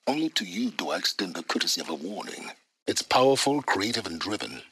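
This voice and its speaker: An actor playing an aloof, secretive middle-aged scholar of ancient ruins in a video game, speaking in formal, measured and condescending phrases. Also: male voice, deep voice, big pitch swings, bright, forceful tone, slightly rough, full character voice. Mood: measured, intrigued. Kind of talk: dramatic video game voice acting.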